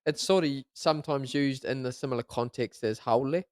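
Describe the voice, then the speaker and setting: A man, co-hosting a podcast, chatting in an easygoing way and explaining things with gentle hedges. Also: mid-range voice, fairly quick, no echo, clean studio sound. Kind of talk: casual chat.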